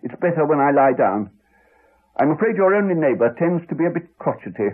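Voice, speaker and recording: An actor with an English accent playing a crotchety, evasive village doctor with dry, formal courtesy, in a muffled 1980s radio drama. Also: male voice, a little high, sing-song. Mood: coldly courteous. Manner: dry, formal, measured politeness. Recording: muffled, band-limited 1980s radio recording.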